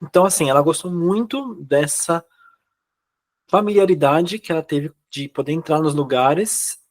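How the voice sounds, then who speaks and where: Brazilian man telling his story, relaxed. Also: on the high side, moderate pace. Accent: Brazilian Portuguese. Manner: relaxed storytelling with fillers and quoted dialogue. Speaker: guest. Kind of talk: casual interview chat.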